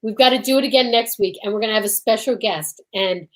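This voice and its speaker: A woman's voice: mid-range voice, fast talker, a bit bright. A woman co-hosting a casual comedy chat show in a joking, theatrical manner. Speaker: co-host.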